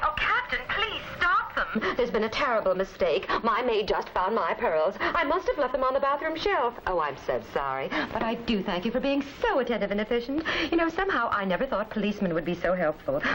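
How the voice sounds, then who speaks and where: A woman's voice: a little high, big pitch swings, fairly quick, hardly any pauses, fairly bright tone. An actress playing a flustered, wealthy society lady with a fussy, genteel, gushing manner in a 1960s training film. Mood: flustered, apologetic. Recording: noisy old 1960s film soundtrack.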